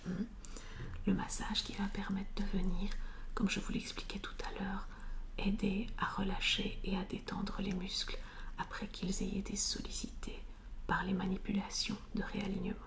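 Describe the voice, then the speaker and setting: A woman speaks in a steady, breathy whisper with very little melodic movement. She plays a soothing chiropractor in an ASMR roleplay, explaining each step gently with small hesitations. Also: medium pitch, normal speed.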